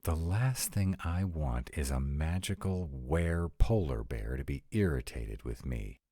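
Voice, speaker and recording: A man acts out a sardonic male vampire in a roleplay audio drama, with an extremely low voice that swings expressively up and down. He takes his time over each exasperated, dryly emphatic line, recorded close and dry. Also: expressive, unhurried, mellow, husky, no room sound, full character voice. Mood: anxious, sardonic. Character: sarcastic male vampire, exasperated, anxious about in-laws. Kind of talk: scripted roleplay audio monologue to a partner.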